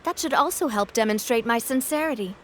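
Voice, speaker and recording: A woman's voice: on the high side, animated, fairly quick, fairly bright tone, slight background noise. An actress playing a confident young woman who runs a syndicate, kind but firm and composed, in a video game. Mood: firm, conciliatory.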